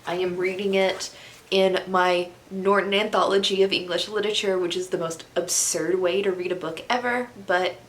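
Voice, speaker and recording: Congested young woman, slow and chatty. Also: on the low side, a bit bright, a little hiss or hum. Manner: chatty, unhurried. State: congested, stuffy nose. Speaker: book vlogger. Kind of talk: casual vlog chat. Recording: echoey room.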